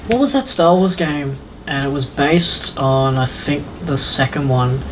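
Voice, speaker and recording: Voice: mid-range voice, animated, unhurried, a bit bright, a little hiss or hum, poor audio. A podcast co-host chatting casually about video games.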